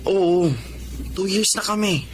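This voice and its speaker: An actor playing a polite, level-headed young bachelor in a Filipino melodrama, speaking frankly and courteously. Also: male voice, high-pitched, flat delivery, takes it slowly, slight background noise. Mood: frank, confiding. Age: young adult.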